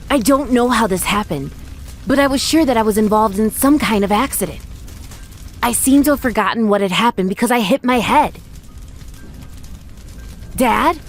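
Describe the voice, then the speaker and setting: A woman's voice: fairly high pitch, fairly bright tone, full character voice. Woman voicing an earnest, lonely little orphan boy. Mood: somber narrating, then panicked. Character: orphaned five-year-old boy raised by yakuza, frightened, lonely. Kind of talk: first-person dramatic narration in an anime-style dub. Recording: voice over background music.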